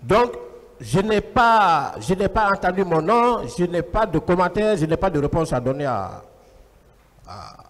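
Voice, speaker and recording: A man with a high, very lively voice replies in a large reverberant courtroom. He is a defendant, formal yet argumentative, going point by point with some halting. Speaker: defendant answering at a trial. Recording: reverberant courtroom, long echo.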